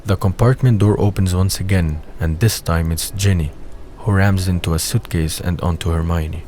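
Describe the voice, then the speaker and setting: Deep-voiced man narrating an audio drama. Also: fairly even intonation, normal speed. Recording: faint background noise.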